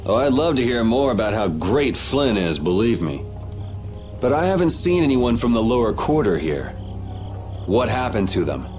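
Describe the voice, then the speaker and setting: A man's voice: medium pitch, very animated, moderate pace, over music, lightly acted. A man playing a wry, cocky young swordsman hero in a video game, with a casual, sardonic way of talking. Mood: wry, probing. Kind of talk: English video game dub.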